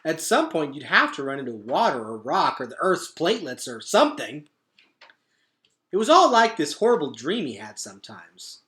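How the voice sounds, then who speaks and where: A man reading a children's book aloud as a teacher would, with dramatic, expressive narration. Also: on the high side, normal speed.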